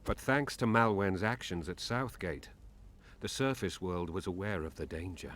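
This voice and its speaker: A man's voice: low-pitched, moderate pace, fairly bright tone, a little hiss or hum. A man playing a solemn storyteller, reading dramatic scripted narration for a fantasy video game. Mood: grave.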